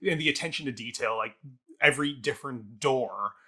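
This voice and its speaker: A man's voice: medium pitch, fairly quick, sharp tone, breathy edge. A man co-hosting a theatre review podcast, talking casually and thinking aloud in long, winding sentences.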